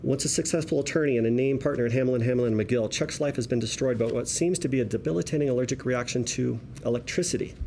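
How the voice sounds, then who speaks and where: Mellow guy narrating a script, measured storytelling, mid pitch, slight room noise behind. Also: creaky. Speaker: narrator reading stage directions. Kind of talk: scripted narration at a table read.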